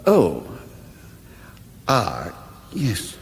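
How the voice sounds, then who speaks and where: A man's voice: lowish voice, huge pitch swings, extremely slow, gravelly, heavily acted. An actor playing a foolish, timid king, over-polite, hesitant and bumbling, in a radio fantasy comedy. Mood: mildly puzzled. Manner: hesitant, bumbling, mildly apologetic. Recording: echoey reverberant hall.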